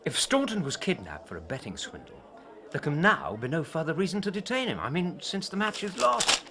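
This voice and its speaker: A man as an earnest, lively gentleman sidekick. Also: fairly high pitch, a little fast, heavily acted. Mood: concerned. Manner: formal, old-fashioned, earnest phrasing. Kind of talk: period radio drama acting.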